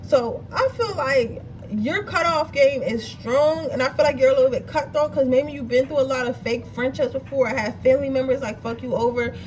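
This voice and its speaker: High-pitched woman chatting thru a tarot reading, blunt and sweary, bit stuffy, mic far off w/ lots of background noise. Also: fairly quick, few pauses. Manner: chatty and blunt, swears freely, keeps checking in with viewers. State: slightly stuffy nose. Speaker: tarot card reader. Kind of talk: casual pick-a-card tarot reading. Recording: distant mic with noisy background.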